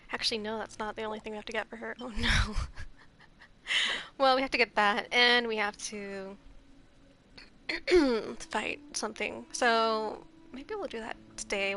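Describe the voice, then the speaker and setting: A sleepy woman streamer talks through her game in a soft, hushed voice. Her tone is bright and sits a little high. Faint noise hangs in the background. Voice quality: soft, quiet voice. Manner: holds back volume, chatty with nervous laughs. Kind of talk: casual gameplay commentary. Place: home room, shared house.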